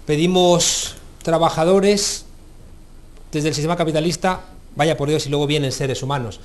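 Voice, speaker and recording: Man, talk show host, fairly high voice, ironic, pointed questions. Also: steady tone, normal speed. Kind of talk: studio debate.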